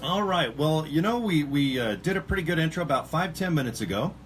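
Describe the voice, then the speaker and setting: A man's voice: a little high, expressive, a little fast, a little hiss or hum. Chatty, rambling male radio host. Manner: rambling, chatty, many fillers. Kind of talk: casual radio interview.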